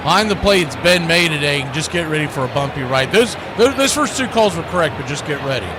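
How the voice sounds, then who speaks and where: A man's voice: on the high side, lively intonation, quick pace, noisy background. A man calling baseball play-by-play as a live stream host, mixing in casual chat and blunt, folksy asides.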